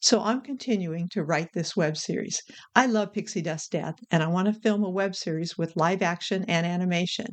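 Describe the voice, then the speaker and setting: A woman's voice: lowish voice, normal speed. A woman hosting a casual how-to vlog, talking in an informal, frank, chatty way. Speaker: video creator and host.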